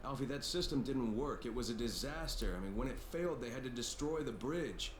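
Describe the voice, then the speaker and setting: An actor plays a terse, dry-humored hero in a video game. He talks briskly. The audio is roomy, with faint noise behind him. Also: mid-range voice, lively intonation, close to an everyday voice. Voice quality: short, dry replies.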